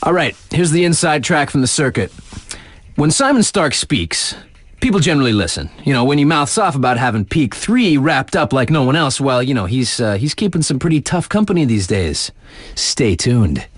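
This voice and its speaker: A cool young male DJ on a video game sports station. His delivery swings up and down in pitch, conversational and cheeky, with teasing asides. The sound is close and dry, like a studio booth. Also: sing-song, moderate pace, no room sound. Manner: laid-back hype, conversational, teasing asides. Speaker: radio DJ. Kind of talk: in-game radio DJ patter on extreme sports news.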